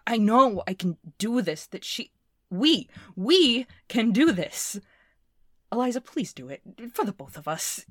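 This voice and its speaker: A woman playing a scrappy, intense inventor recording a personal log in an audio drama, talking in a halting, volatile way. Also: mid-range voice, no room sound, studio quality. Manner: swearing, halting, swinging from muttering to fervent.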